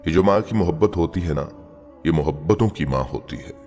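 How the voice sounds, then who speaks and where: A man's voice: extremely low pitch, expressive, fairly quick, a little mellow. A man voicing an emotional, educated young woman in love, in a dramatic moral story. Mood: resolved, tender. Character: educated young woman, lovestruck, torn by guilt. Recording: long reverb, music bed behind.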